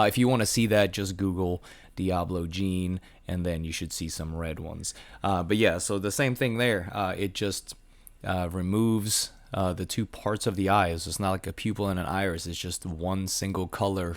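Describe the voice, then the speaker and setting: A man with a very low voice made raspy by a sinus infection. He talks in a relaxed, unscripted way, filling pauses with ums and uhs as he shows off his snakes. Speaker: hognose snake breeder. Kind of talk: casual explanatory show-and-tell.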